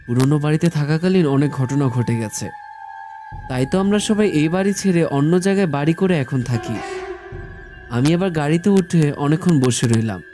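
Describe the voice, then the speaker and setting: A man narrating a scripted first-person horror story in a measured storytelling manner. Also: fairly high pitch, expressive. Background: background music.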